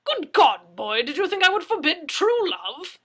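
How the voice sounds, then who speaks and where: A woman plays a hearty English gentleman from a storybook, loud and forceful. He blusters and bumbles, easily flustered and excitable. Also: very high voice, unhurried, pitched above the performer's own voice, exaggerated voice. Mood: blustering, hearty.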